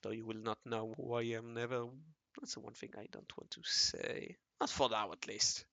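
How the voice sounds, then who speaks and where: A man with a raspy voice talking casually to himself while he draws, mumbling and correcting himself as he goes. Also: on the low side, level tone, moderate pace, bright, forceful tone, dry recording. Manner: mumbling and self-correcting, often murmuring half to himself. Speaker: hobby artist narrating his drawing session. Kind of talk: casual think-aloud commentary while drawing.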